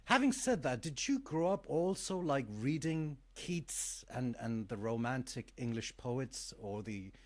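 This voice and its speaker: A man co-hosting a talk show in casual, reflective conversation, thoughtful and halting as he searches for words. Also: medium pitch, expressive, a little mellow.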